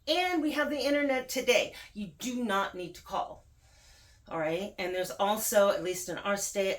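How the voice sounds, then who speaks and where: A woman's voice: lowish voice, a bit bright. A woman speaking as a video host, giving casual, emphatic advice straight to the viewer and repeating words for stress.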